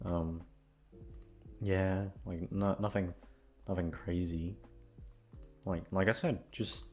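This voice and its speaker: A young adult man who works as an ASMR voice actor, talking in an unhurried, informal way. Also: sits low, fairly even intonation, darkish tone. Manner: self-deprecating, halting. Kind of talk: casual, rambling chat to listeners.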